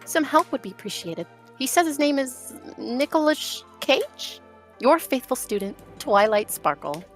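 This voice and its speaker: A man's voice: very high-pitched, very animated, a little slow, faint music behind, voice pushed up, heavily acted. A man voicing a prim, earnest young female unicorn pony who talks in a fussy, officious way. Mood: flustered.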